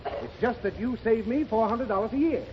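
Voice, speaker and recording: A man's voice: very high voice, lively intonation, a little fast. A man playing a flustered, exasperated father trying to do his taxes, in 1930s radio comedy. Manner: flustered, theatrically exasperated, sputtering. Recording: dull 1930s radio broadcast with hiss and studio audience.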